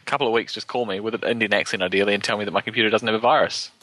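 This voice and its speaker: A man's voice: on the low side, steady tone, rapid-fire, bright, forceful tone, no room sound. A man co-hosting a casual talk show, chiming in with dry, wry jokes. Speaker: podcast co-host. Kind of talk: casual chat.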